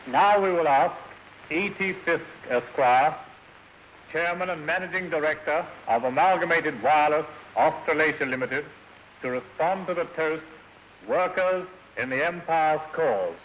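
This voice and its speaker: A man's voice: a little high, unhurried. A man making formal ceremonial announcements as a banquet toastmaster. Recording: dull, hissy 1930s radio broadcast.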